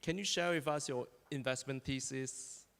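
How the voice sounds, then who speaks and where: Gravelly-voiced man moderating a panel. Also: medium pitch, moderate pace, fairly bright tone, big-room sound. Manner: joking, scripted introductions. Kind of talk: panel interview on stage. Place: conference hall.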